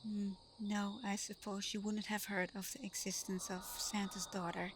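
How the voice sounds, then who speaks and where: A woman playing Santa's gentle, bashful adopted daughter in an ASMR roleplay, soft-spoken, hesitant and apologetic. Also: mid-range voice, level tone, normal speed, background noise, lightly acted. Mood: gently explaining. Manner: soft-spoken, hesitant, breaking into little giggles.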